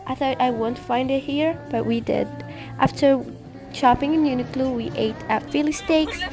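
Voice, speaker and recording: Young female vlogger, chatty and upbeat, slightly high voice over background music. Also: normal speed. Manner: chatty and enthusiastic, frequent fillers. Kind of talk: casual travel vlog narration. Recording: music playing underneath.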